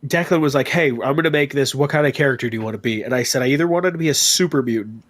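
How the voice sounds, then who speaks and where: A man with a stuffy nose, chatting on a podcast about his tabletop characters, animated and full of swearing. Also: mid-range voice. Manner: animated storytelling, swearing, quick asides. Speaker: podcast guest. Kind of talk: casual chat about tabletop gaming.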